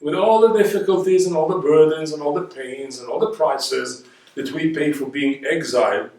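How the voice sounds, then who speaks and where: A man's voice: fairly high pitch, moderate pace, a little mellow. A man with an Israeli accent giving a reflective talk on history, emphatic, with rhetorical questions and points built through repetition. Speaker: guest speaker. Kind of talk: reflective spoken lecture on history. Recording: echoey room, distant microphone.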